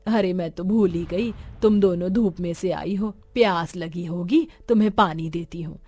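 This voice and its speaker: A woman's voice: fairly low pitch, moderate pace, warm tone, pitched below the performer's own voice, lightly acted. A woman voicing a poor, devout, long-suffering village mother in an earnest, plaintive and tender way. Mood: plaintive devotion.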